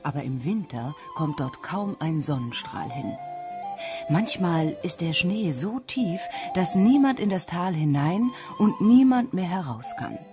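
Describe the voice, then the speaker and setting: Woman reading a folk tale, deep for a woman, bit raspy, soft music behind. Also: animated, unhurried. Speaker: storyteller. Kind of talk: scripted folk tale narration.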